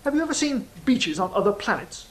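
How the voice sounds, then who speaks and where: Audio drama casting: performer as sly fallen angel, philosophical and cynical, measured theatrical delivery, medium pitch, slightly noisy ambience. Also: very animated, natural voice. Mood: worldly, dismissive.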